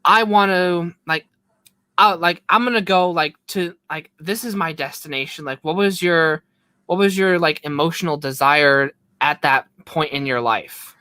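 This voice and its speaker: A woman's voice: sits low, a little slow, bright, forceful tone, clear, resonant tone, no echo. A woman hosting a casual interview, asking long, rambling questions filled with "like.". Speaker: podcast host.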